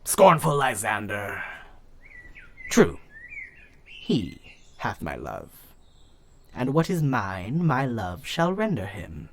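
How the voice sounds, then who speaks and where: An indignant, domineering older nobleman acted by a man in a Shakespearean audio play. His low voice declaims slowly and swings widely into forceful emphasis. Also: huge pitch swings, creaky, exaggerated voice. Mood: scornful. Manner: declamatory, bursting into sudden emphasis. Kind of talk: Shakespearean verse audio drama acting.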